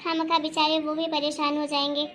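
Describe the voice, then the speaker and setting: Casting: female performer as considerate dutiful wife, very high soft voice, respectful, family moral story. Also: little pitch movement, fairly quick, slight background noise. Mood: concerned, considerate. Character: gentle, considerate elder daughter-in-law, dutiful wife. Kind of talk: acted dialogue in Hindi family story.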